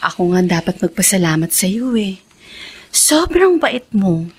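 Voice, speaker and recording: A woman playing a kind, generous, devoted wife in a radio drama, with an affectionate, gentle manner. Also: medium pitch, sing-song, measured pace, husky. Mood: tender.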